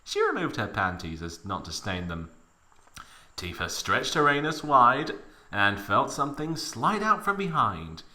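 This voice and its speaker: Male narrator for comic fanfic reading: sharp, forceful tone, hugely swinging pitch, hammy emphasis. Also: sing-song, a little slow. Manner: theatrical, exaggerated emphasis. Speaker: narrator of a fan fiction reading. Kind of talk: mock dramatic reading with comic asides.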